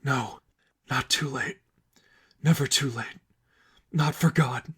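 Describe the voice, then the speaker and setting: A man's voice: medium pitch, bright, forceful tone, slightly rough, full character voice. A man voicing a young female masked vigilante, devout and anguished, in a story reading. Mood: quietly resolute. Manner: screaming demands, then halting, shaken short phrases.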